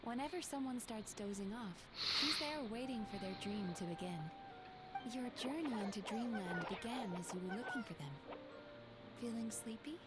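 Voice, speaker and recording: A woman voicing a gentle, doting dream therapist in a video game, tenderly coaxing with affectionate, hushed guidance. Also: on the high side, expressive, moderate pace, close to an everyday voice. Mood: soothing, hypnotic. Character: gentle, doting female Baku dream therapist, soothing and playful. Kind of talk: scripted video game voice acting. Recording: reverberant, with prominent music behind. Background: loud game music.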